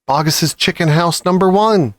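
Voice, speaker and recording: A man voicing a clever, cheerful, commanding father fox in a children's storybook, excited and pleased with himself. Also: a little high, normal speed, no room sound, pitched above the performer's own voice, close to an everyday voice. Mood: proud, triumphant. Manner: excited, bossy, self-satisfied.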